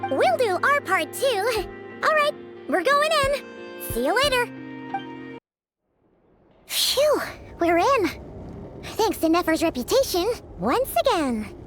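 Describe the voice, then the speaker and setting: A tiny, childlike fairy girl from a video game, chirpy and excitable. The voice is squeaky and extremely high, with lively, swooping intonation. Prominent music plays behind it. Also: slow, long pauses, exaggerated voice. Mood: eager. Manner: chatty, expressive, exclaiming. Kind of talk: video game character acting.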